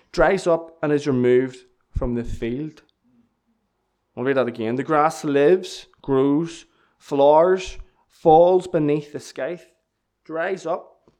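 A man's voice: a little high, darkish tone. A young man, giving an earnest church testimony and sermon that repeats key lines for emphasis. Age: 20s. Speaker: lay preacher giving testimony.

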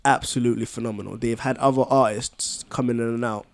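A man's voice: mid-range voice, steady tone, fast talker. A man chatting casually on a podcast with friends, enthusiastic and slangy. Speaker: podcast co-host.

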